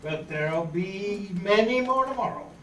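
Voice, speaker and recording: A woman's voice: mid-range voice, lively intonation, a little slow, natural voice. A woman playing a peppy radio contest host addressing youngsters in an amateur radio drama. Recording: far, echoey room, faint noise.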